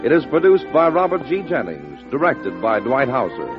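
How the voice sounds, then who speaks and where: A man's voice: medium pitch, huge pitch swings, normal speed. An American man reading scripted credits as a 1940s radio announcer. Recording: dull old radio recording over loud music.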